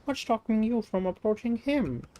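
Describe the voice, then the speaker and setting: An Indian man voicing a haughty, lecturing shrine maiden from a video game, impatient and sharp-tongued. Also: high voice, animated, normal speed, a little hiss or hum, pitched above the performer's own voice, low-quality recording. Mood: exasperated, insistent.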